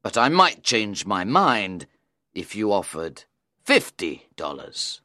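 A woman's voice: extremely low pitch, big pitch swings, takes it slowly, sharp tone, dry recording, studio quality, voice pushed down, full character voice. A woman voicing a sly, smiling male magician in a storybook reading, with a knowing, teasing manner.

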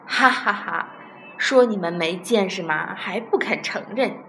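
Woman playing a loud, mocking know-it-all man. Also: medium pitch, normal speed, a little hiss or hum, natural voice. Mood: mocking, smug. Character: tall, boastful, mocking blind man.

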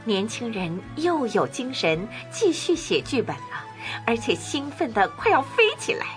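A woman narrating a children's fairy tale from a script, with an expressive storytelling manner. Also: on the high side, a little slow. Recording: clear music bed. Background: music.

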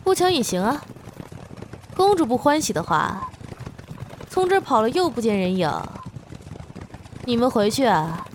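A woman's voice: a little high, sing-song, unhurried, pauses often, a little hiss or hum. A woman playing a loyal, practical attendant to a princess in a Chinese costume audio drama. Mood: sly, pressuring.

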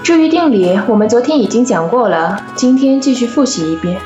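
A woman acts a didactic schoolteacher in an audio drama. Her fairly high voice echoes in a roomy space and sounds slightly dull. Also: animated, brisk, warm tone, faint music behind, close to an everyday voice. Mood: instructive. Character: instructive schoolteacher giving a maths lesson. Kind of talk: Chinese audio drama acting.

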